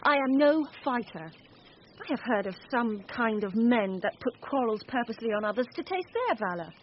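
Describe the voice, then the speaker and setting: Woman playing a nervous polite young gentleman in disguise, fairly high voice, shakespeare radio play, slightly muffled. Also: lively intonation, moderate pace, lightly acted. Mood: anxious, polite. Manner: polite, anxious, earnest. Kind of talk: Shakespearean radio drama acting. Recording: muffled radio recording, faint noise.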